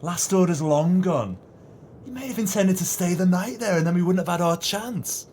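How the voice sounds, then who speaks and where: An actor in an audio play voicing a callous, arrogant swindler and killer. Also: male voice, high-pitched, lively intonation, normal speed, a little mellow, slight background noise. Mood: dismissive. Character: callous, smug swindler and murderer, businessman. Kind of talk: audio drama acting.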